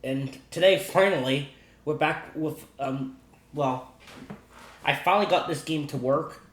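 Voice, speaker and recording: A man with a somewhat high-pitched voice hosts a casual, unscripted gaming explainer. He speaks haltingly, restarting and repeating himself as he works through each point. The room around his voice is noticeably echoey. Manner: halting, repetitive, circles back over points. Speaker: gaming video host.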